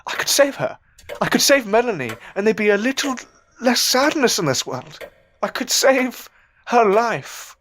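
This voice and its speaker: A man's voice: very high-pitched, sing-song, normal speed, a bit bright, exaggerated voice. A man playing a self-doubting novice magician, desperate to succeed, in an audio drama, with formal, old-fashioned phrasing. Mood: elated. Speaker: voice actor in an amateur audio drama.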